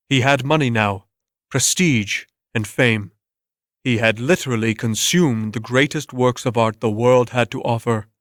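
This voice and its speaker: Male narrator for a dramatic story reading, mid-range pitch, dry studio-like sound. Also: no echo.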